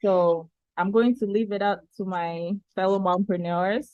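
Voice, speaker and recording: Woman, talk show host, unhurried, casual, dry close sound. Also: medium pitch, steady tone, darkish tone, dry recording, muffled recording. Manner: unhurried, many ums. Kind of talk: casual live chat.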